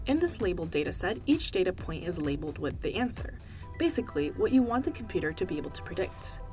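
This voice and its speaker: Woman, first language Korean, animated scripted explainer read over soft music. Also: fairly low pitch, fast talker.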